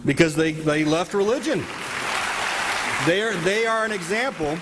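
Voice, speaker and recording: A man's voice: sits high, normal speed. Middle-aged male debater from the US, emphatic and argumentative, podium mic in a big auditorium, reverberant. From United States. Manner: assertive, argumentative, emphatic gestures. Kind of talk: formal debate cross-examination. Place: large auditorium stage. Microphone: podium microphone. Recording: hall reverb, faint background noise.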